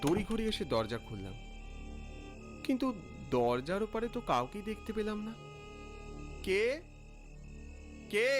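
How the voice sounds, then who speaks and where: Irritable, penny-pinching male narrator, acted by a man for an eerie cartoon tale; highish, swinging pitch, music underneath. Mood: startled. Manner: expressive, exclaiming and questioning in dialogue. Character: irritable thrifty male office worker narrating his eerie evening. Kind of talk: dramatised first-person story narration. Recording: music bed behind the voice.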